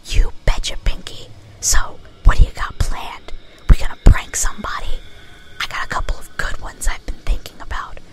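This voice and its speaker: A woman's voice: normal speed, natural voice, rough recording. A woman voicing a brash, sporty young female cartoon pony with casual slangy talk, in an ASMR-style story reading. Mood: casual, game.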